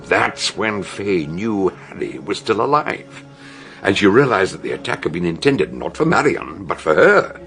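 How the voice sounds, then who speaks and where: An actor with a British accent playing a shrewd older detective doctor in a radio drama, telling his deductions with emphatic, dramatic pauses. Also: sits low, sing-song, fairly bright tone, faint music behind, exaggerated voice. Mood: confident, explaining. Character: shrewd, theatrical older male detective doctor explaining a murder.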